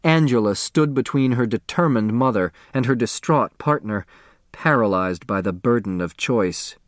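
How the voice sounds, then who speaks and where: Male narrator, mid-range pitch, very animated intonation, scripted children's novel reading, dry sound. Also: normal speed, no echo. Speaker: audiobook narrator.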